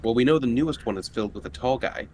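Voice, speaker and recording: A man's voice: mid-range voice, animated, fast, darkish tone, a little hiss or hum. A man playing a tabletop roleplaying game, chatting casually and playfully, often asking questions. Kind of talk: casual in-character roleplay chat.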